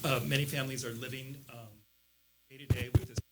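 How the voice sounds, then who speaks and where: A man's voice: mid-range voice, flat delivery, fairly quick. A man giving pointed, critical public comment at a county meeting. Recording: noisy public meeting recording.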